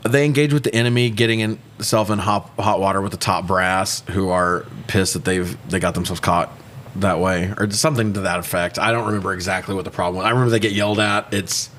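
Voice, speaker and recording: Low-voiced man, irreverent podcast banter. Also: fairly even intonation, fairly quick, a bit bright. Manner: irreverent, joking, profane. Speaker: podcast co-host. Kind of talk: casual movie recap banter.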